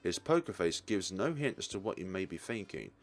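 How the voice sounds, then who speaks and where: A man reading a visual novel aloud as a let's player, with deliberate, theatrical narration. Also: deep voice, very animated, soft background music.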